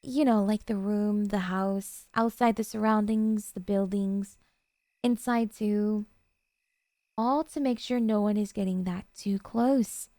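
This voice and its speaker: A woman acts out a doting yandere girlfriend for an ASMR roleplay, with a dark, mellow tone and a touch of creak. She speaks softly and intimately, sweet yet possessive and obsessive, in a very dry, close recording. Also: mid-range voice, fairly even intonation, takes it slowly, no echo.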